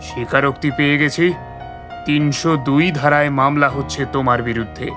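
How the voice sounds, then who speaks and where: A man playing a gruff, stern police officer in a cartoon, blunt and commanding. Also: mid-range voice, steady tone, moderate pace, music in the background, lightly acted. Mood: triumphant, stern. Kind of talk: Bengali cartoon detective dialogue.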